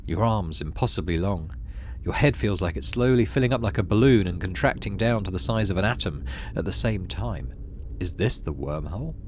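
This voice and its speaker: A man's voice: deep voice, lively intonation, normal speed, hardly any pauses, a little hiss or hum. A man hosting a gaming video, reading story text aloud expressively and adding casual, musing commentary.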